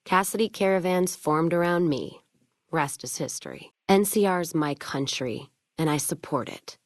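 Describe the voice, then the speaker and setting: A woman's voice: low voice, normal speed, no echo, studio quality. A woman playing a hard-drinking, blunt caravan boss from a post-apocalyptic western video game, with a wry frontier way of talking. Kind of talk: video game character dialogue.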